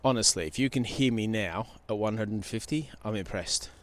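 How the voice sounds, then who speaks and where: Low-voiced man giving a chatty gear review. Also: a little fast, background noise. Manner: chatty, informal, repeats himself. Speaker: product reviewer. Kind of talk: casual gear review to camera. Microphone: handheld wireless interview microphone.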